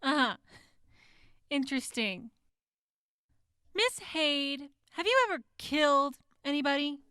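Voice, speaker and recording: A woman's voice: high-pitched, lively intonation, takes it slowly, sharp tone, dry recording, studio quality, full character voice. A woman playing a skeptical, mock-polite prosecutor who draws out pointed questions in an audio drama courtroom. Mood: probing. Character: skeptical, needling female prosecutor in wasteland court.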